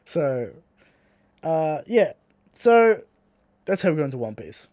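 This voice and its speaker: A man's voice: on the high side, sing-song, slow pace, darkish tone, no room sound, clean studio sound. A man with an Australian accent, co-hosting a podcast in casual chat, blunt and swearing freely as he rants. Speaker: podcast co-host.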